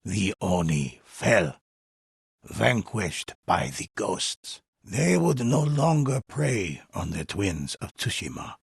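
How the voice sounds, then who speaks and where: Old legend-teller, very husky, low-pitched, solemn, deliberate, ominous game narration. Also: male voice, dry recording, clean studio sound, full character voice. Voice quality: gravelly, husky. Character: grave old male storyteller narrating a dark legend of ghost warriors. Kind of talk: dramatic scripted game narration, folk-tale telling.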